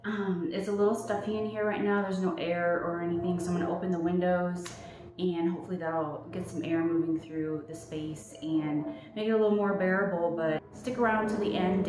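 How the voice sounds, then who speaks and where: A woman in her thirties chatting casually through a home cleaning vlog, relaxed with frequent ums, filmed by a camera mic in a small bedroom. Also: fairly low pitch, normal speed. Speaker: vlogger. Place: small bedroom studio, indoors. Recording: roomy echo, faint background noise.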